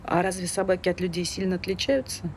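A woman's voice: on the low side, quick pace, natural voice. An actress playing a prim, opinionated woman who speaks in an indignant, formal, lecturing manner in a stage drama. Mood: preachy. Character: prim, opinionated, scolding female dog owner. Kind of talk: naturalistic stage dialogue. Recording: noisy ambient background with street sounds.

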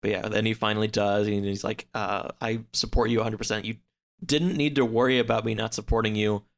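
A man in a casual podcast chat, recapping a story in a halting, rambling way full of filler words. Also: on the low side, steady tone, creaky. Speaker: podcast guest.

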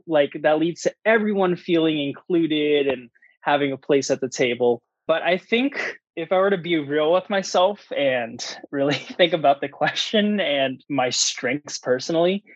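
An American man giving an earnest, reflective interview answer in a conversational, halting way, with frequent pauses. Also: fairly high pitch, no echo, lo-fi sound. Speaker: physical therapy researcher.

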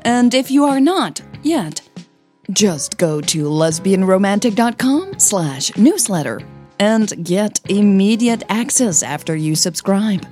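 A woman's voice: medium pitch, big pitch swings, takes it slowly, darkish tone, soft background music. A woman writer narrating her own audio drama series and addressing listeners in a scripted podcast style.

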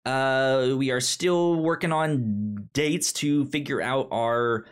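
A man's voice: medium pitch, slow pace, clear, resonant tone. A man hosting a podcast in casual conversation, often stuttering and repeating sounds and syllables as he talks. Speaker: podcast host.

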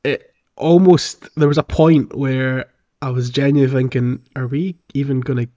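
A man's voice: medium pitch, fairly even intonation, moderate pace, dark tone. Man hosting, slightly dull lo-fi sound. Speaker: podcast host. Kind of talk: casual gaming podcast chat.

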